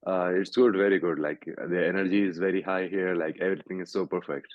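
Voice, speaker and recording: A young Pakistani man, a fighter, answering interview questions over a video call in halting English full of "like" and "you know". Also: low voice, steady tone, no echo. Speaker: guest fighter. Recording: tinny call audio, dry.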